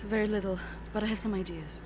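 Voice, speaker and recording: A composed, studious female researcher, played by an actress speaks at a middle pitch in a video game scene. Her delivery is measured and inquisitive, with faint ambient noise behind it. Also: fairly even intonation, natural voice. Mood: focused. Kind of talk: game cutscene acting.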